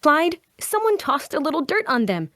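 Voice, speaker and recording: A woman's voice: sits high, sing-song, moderate pace, pushed and bright, no echo, studio quality, exaggerated voice. A woman playing an earnest, compassionate adventurer who insists on what is right, in a fantasy drama table read. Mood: indignant. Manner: indignant, pleading.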